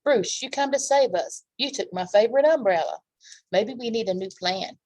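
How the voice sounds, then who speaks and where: A woman voicing excitable, eager animal neighbors from a children's storybook, with exclamatory delivery. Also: a little high, moderate pace, no room sound, pitched above the performer's own voice, natural voice. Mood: excited.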